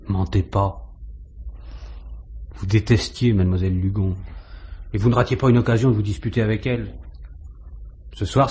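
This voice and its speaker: Low, dark-voiced male actor as a police inspector, firm and probing, vintage French radio crime drama. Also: close to an everyday voice. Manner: insistent, probing, authoritative questioning. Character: methodical, firm police inspector interrogating a suspect. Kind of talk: 1950s French radio mystery drama acting.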